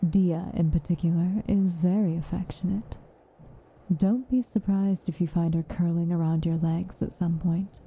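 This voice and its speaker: A woman performs a stern yet caring witch in an intimate ASMR roleplay. Her voice is lowish, very dark and husky with breath. She gives dry commands slowly and softly, often sinking into a protective whisper. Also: highs cut off, full character voice. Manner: measured, soft, often dropping to a whisper, dryly commanding.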